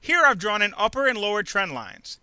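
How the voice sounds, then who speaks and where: Bright, high-pitched man narrating a tutorial. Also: big pitch swings, a little fast, smooth, no echo. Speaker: narrator of an instructional trading video. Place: close dry voice-over recording.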